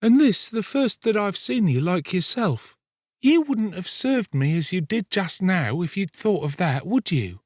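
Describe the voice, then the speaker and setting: A man's voice: sits high, very animated, unhurried, a little mellow, no room sound, clean studio sound, pitched above the performer's own voice, heavily acted. A man voicing a weary, ailing young woman in an audiobook, tender, plaintive and coaxing. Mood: tender pleading. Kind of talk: dramatised audiobook reading of a classic novel.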